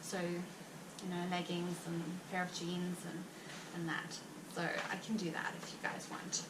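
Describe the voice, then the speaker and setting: A woman's voice: on the low side, monotone. Chatty Afrikaans-accented woman, roomy distant sound. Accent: South African Afrikaans. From South Africa. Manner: chatty and rambling, with frequent fillers and quick laughs. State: heavily pregnant. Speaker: vlogger. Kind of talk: casual chat to viewers. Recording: roomy, distant mic with background noise.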